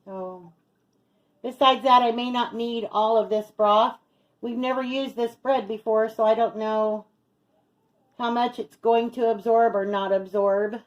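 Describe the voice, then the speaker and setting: A woman's voice: a little high, steady tone, measured pace, lo-fi sound. A middle-aged woman chatting casually as she narrates each step of her home cooking as a vlog host. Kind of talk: casual chat while cooking. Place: home kitchen.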